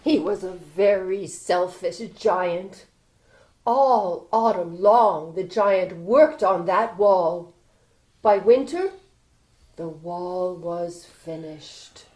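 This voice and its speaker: A woman narrating a children's story in an expressive, theatrical style, inviting listeners along. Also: fairly low pitch, drawn-out words.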